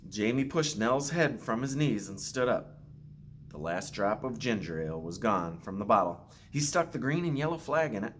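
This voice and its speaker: Guy principal reading a kids book out loud, kinda low voice, expressive, bit of background noise. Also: normal speed. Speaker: school principal reading to pupils. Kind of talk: children's picture book read-aloud.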